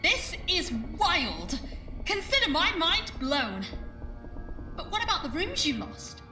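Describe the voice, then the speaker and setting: A woman playing a plucky, bewildered companion in an audio drama, with an incredulous, expressive delivery. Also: very high-pitched, slow, soft background music, full character voice. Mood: amazed. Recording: echoey room sound.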